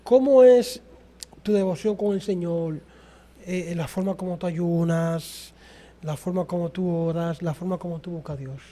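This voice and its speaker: A man's voice: high voice, a little slow, warm tone. A man hosting an interview, asking short direct questions about faith.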